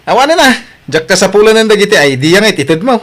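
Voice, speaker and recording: A man's voice: high voice, sing-song, fast, a bit bright. Brash Ilocano man, acted, boastful and arrogant. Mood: dismissive. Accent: Filipino, Ilocano. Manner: brash, dismissive. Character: boastful, newly rich, arrogant young man vlogger. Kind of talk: Ilocano audio drama acting.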